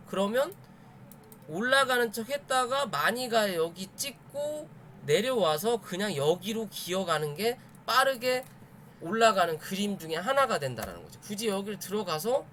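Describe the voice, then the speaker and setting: Guy streaming chart analysis, pretty high voice, talks it through emphatically, some background noise. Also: fairly bright tone. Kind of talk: live chart commentary, explaining to viewers.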